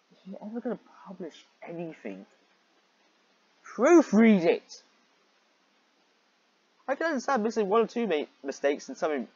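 A man's voice: fairly high pitch, darkish tone, dull audio. A man reading amateur fiction aloud with sarcastic commentary and exasperated, incredulous asides.

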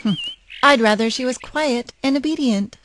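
A woman's voice: high voice, moderate pace, fairly bright tone, slight background noise. A woman playing an irritable, cutting divorced mother in an audio drama, curt and scolding. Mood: exasperated. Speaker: voice actor.

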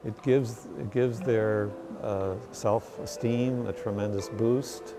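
A man's voice: on the low side, steady tone, slow pace. Older man speaking over music. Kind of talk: documentary interview. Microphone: lapel mic. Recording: music under the voice.

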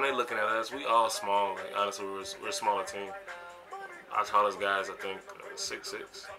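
An American man in his twenties reflecting casually for a vlog, talking in a slangy, emphatic, conversational way. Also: fairly low pitch. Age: 20s. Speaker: vlogger and college basketball player. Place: small bedroom, indoors. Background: music.

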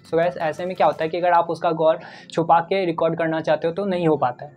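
A man's voice: on the high side, steady tone, fast talker, muffled recording. A man in his twenties with an Indian accent, hosting a step-by-step tech tutorial and addressing viewers directly on a lapel mic. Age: 20s.

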